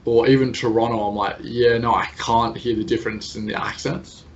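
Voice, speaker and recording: An Australian man hosts the interview, chatty and quick with his opinions. His voice sits at a middle pitch. The audio has a dull, lo-fi quality. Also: fairly even intonation. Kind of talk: casual interview chat.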